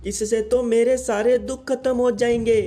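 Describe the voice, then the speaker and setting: An actor voicing an earnest, mellow young village boy. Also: mid-range voice, moderate pace, faint music behind, lightly acted. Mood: excited. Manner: earnest, excitable. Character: poor young village boy who herds goats. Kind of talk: cartoon voice acting.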